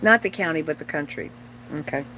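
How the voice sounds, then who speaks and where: A woman's voice: deep voice, fairly quick, pushed and bright, poor audio. Blunt middle-aged woman, humming background noise. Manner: opinionated, blunt, repeats herself for emphasis. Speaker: livestream host chatting with viewers. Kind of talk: casual rambling livestream chat.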